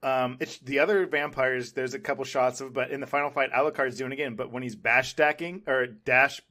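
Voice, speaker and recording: A man's voice: mid-range voice, fairly even intonation, a little fast, sharp tone. A man co-hosting a casual pop-culture podcast, talking like an enthusiastic fan with plenty of "like" and repeated phrases. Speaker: podcast co-host.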